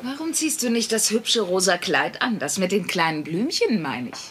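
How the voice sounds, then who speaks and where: Casting: nagging, sarcastic middle-aged sitcom wife, volatile and forceful, very animated delivery. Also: female voice, medium pitch, moderate pace, breathy edge. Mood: bossy, exasperated. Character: bossy, volatile working-class wife and mother. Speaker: voice actor in a German sitcom dub. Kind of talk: sitcom dub acting.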